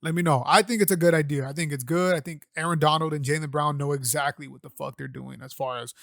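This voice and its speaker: A man's voice: a little high, steady tone, fast, creaky, no room sound, studio quality. A young man hosting his own podcast at home, talking loosely and profanely with lots of fillers into a condenser mic. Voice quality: loud voice. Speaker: podcast host. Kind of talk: casual solo podcast talk. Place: home room setup. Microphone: condenser microphone.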